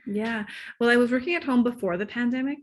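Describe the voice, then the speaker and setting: A woman guest, a relationship coach, talking in a casual interview. She is excitable, laughs easily and sometimes loses her train of thought. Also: a little high, fast talker.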